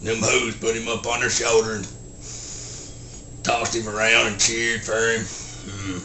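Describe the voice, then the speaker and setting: A man voicing a slow, simple-minded rural Southern man, telling a folksy story in halting, deadpan short sentences with grunted hums and a Southern US accent. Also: fairly low pitch. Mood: deadpan, plodding. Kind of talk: folksy storytelling, film character impression. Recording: roomy echo, faint background noise.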